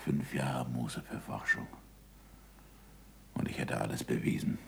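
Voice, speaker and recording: An actor in a 1960s German radio drama playing a passionate, impatient middle-aged astronomer, with emphatic stage diction and a gravelly, husky voice. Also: male voice, rumbling low, steady tone, a little slow, a little hiss or hum, full character voice. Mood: wry, aggrieved. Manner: emphatic stage diction, swinging from murmurs to shouts.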